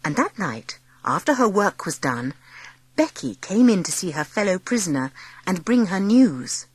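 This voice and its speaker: Expressive, lively woman narrator, slightly husky. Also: mid-range voice, moderate pace, no echo. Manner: expressive storytelling. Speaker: audiobook narrator. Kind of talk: dramatised children's story narration.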